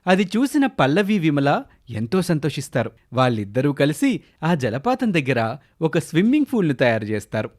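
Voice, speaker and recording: A male narrator tells a scripted children's story. His voice is on the high side and moves with animated, swinging intonation. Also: normal speed.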